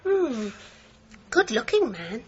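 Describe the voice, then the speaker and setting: A woman's voice: fairly high pitch, very animated, slow pace, slightly rough, exaggerated voice. An actress playing a gossipy, knowing woman who works at a hotel desk, in a 1980s radio drama. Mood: nosy, knowing. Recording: dull, faintly noisy radio recording.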